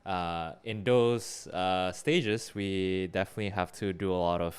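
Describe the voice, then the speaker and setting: A man's voice: low voice, steady tone, a little slow, clear, resonant tone. A young man from Singapore co-hosting a casual podcast, talking with many fillers and restarts. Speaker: podcast co-host.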